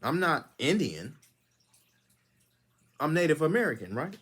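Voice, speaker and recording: A man's voice: on the high side, sing-song, a little slow, a bit bright, breathy edge. A man hosting his own channel, giving a lecturing monologue that is full of rhetorical questions. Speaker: channel host. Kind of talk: preachy monologue commentary.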